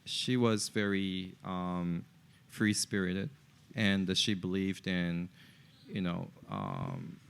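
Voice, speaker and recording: A middle-aged man whose first language is Japanese, a podcast guest talking in a halting, casual way with many fillers and restarts. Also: sits low, expressive, vocal fry, a little hiss or hum. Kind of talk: casual interview talk.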